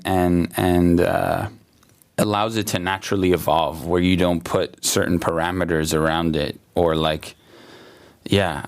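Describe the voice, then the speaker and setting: A man, talking through his art in a loose interview, hesitant and full of fillers and restarts. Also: low voice. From Germany, raised in the USA. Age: late 20s. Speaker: artist, guest. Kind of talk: casual interview talk. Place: exhibition hall.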